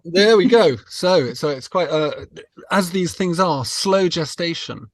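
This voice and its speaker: A man's voice: a little high, expressive, unhurried, no echo. A man hosting a podcast interview, casually feeling his way through questions and rephrasing as he goes.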